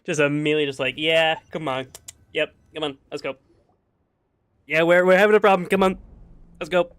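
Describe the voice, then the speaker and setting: A man giving casual live commentary as a game streamer, with excitable, jokey interjections. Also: fairly high pitch, brisk, clear, resonant tone. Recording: game music behind the voice.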